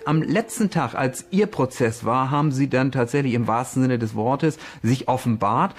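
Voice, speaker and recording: A man's voice: mid-range voice, moderate pace, faint music behind. A man speaking off the cuff as an expert interviewee, explaining things. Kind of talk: unscripted explanatory interview talk.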